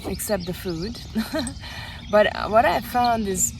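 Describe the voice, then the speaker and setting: A woman from Hungary speaking slowly and gently, pausing often as she reflects, recorded outdoors by a lake. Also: mid-range voice, noisy background. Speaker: retreat guest sharing her experience. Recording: outdoor audio with clear birdsong. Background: birdsong.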